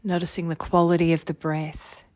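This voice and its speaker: A woman leading a guided meditation as a teacher, giving gentle, soothing body-scan instructions in an unhurried way. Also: fairly low pitch, flat delivery, no room sound. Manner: soothing, unhurried, gentle instruction with long phrases trailing softly.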